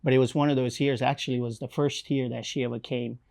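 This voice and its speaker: A young man from Romania with a Romanian accent, telling his life story in an interview with many pauses, fillers and restarts. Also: mid-range voice, level tone, gravelly, a little mellow. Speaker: missionary guest. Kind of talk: interview, personal testimony.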